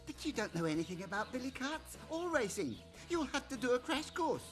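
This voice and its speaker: A woman's voice: on the high side, big pitch swings, brisk, music in the background. A woman playing a boy's affectionate friend in a film comedy-drama. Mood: matter-of-fact.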